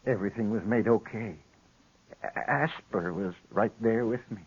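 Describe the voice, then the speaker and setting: A hurt old-west lawyer, breathy and weak, stops and starts, low voice, muffled radio drama. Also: male voice. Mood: weak, accusing. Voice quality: husky, breathy. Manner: halting, feeble. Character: wounded, weak frontier lawyer telling his tale. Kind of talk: 1950s radio western acting. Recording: dull old radio recording.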